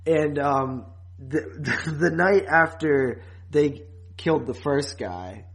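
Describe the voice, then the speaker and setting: A man's voice: on the high side, takes it slowly, vocal fry, fairly bright tone. A man co-hosting a podcast, recapping a TV series in casual, rambling, informal talk. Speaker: podcast co-host.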